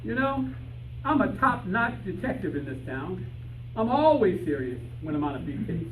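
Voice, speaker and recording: A man's voice: high-pitched, big pitch swings, moderate pace, fairly bright tone. A man playing a boastful, muddled private detective in an old-time radio style comedy. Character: bungling, cocky private detective man seeking his canary. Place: live rehearsal in a room with audience. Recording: distant echoey room recording, noisy.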